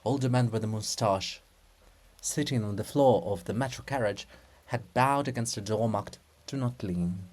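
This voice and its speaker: A man narrating stage directions for a dramatic play reading in a measured, theatrical manner. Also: mid-range voice, a little mellow.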